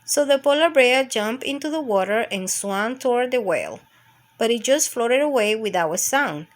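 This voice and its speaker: A woman's voice: fairly high pitch, lively intonation, normal speed. A woman reading a picture book aloud as a storytime narrator for young children.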